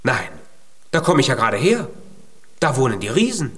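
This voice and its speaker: A man's voice: medium pitch, big pitch swings, normal speed, a bit bright, slightly rough. An actor in a children's radio play, playing a tiny male giant who is naive, earnest and full of hopeful pluck. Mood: eager, plucky.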